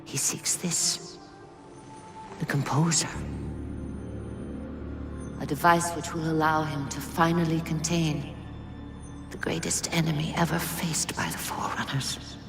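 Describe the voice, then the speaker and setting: An actress playing an ancient female alien guardian spirit who speaks in a calm, solemn, soft-spoken way. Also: sits low, unhurried, creaky, pauses often, over music. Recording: game cutscene with ominous score.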